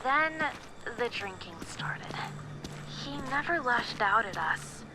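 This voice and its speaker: A woman's voice: a little high, animated, slow pace. A woman voicing a young, informal researcher in a video game, explaining things in a casual, conversational, slangy way. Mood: somber, subdued. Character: young woman, informal, knowledgeable researcher confiding family secrets. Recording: loud background noise and ambience.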